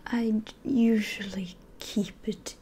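Girl doing a bossy evil demon lady, asmr whisper, super slow n breathy, close on the mic. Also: mid-range voice, fairly even intonation, warm tone. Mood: weary, commanding. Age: young adult. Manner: slow, deliberate, imperious. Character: menacing female demon sorceress, commanding and scheming. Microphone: close microphone, facing camera.

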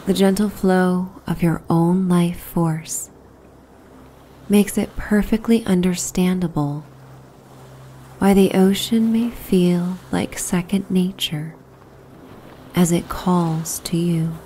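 A woman narrating a guided sleep meditation in a soothing, hushed, breathy and unhurried way. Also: lowish voice, steady tone, slight background noise. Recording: soft background ambience soundscape. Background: relaxing ambient soundscape.

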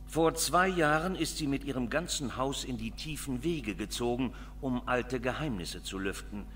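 A dignified elderly dwarf nobleman from a fantasy game, played by a male actor. He speaks formally and sternly in a mid-pitched, measured voice, with faint noise behind him. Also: fairly bright tone, natural voice. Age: older. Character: dignified, guarded old dwarf lord. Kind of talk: video game voice acting.

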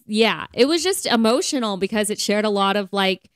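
Clear-voiced woman chatting earnestly. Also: mid-range voice, animated, moderate pace, no room sound, studio quality. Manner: conversational, earnest, filler-heavy with frequent "like". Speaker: podcast co-host. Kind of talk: casual faith podcast chat.

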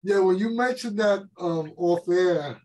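A man's voice: high-pitched, measured pace, a little mellow. A man co-hosting a casual interview on a video call and putting questions to the guests. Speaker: co-host reading audience questions. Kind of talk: casual chat.

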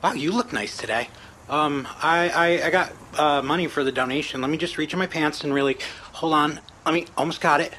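A man playing a creepy, fumbling admirer who stammers haltingly in a comedy sketch. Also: on the high side, pushed and bright, slight background noise. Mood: flustered, creepy.

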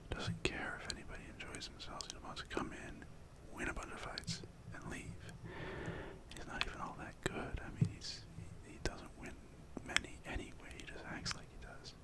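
A man in his thirties whispering an encouraging pep talk close to a furry-covered mic in a small room, for an ASMR roleplay. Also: normal speed. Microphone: furry windscreen mic close in front, earbuds.

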